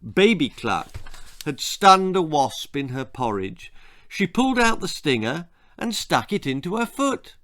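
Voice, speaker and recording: Male storybook narrator for comic horror, very lively intonation, unhurried pace, expressive delivery. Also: fairly high pitch. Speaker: author reading own children's story.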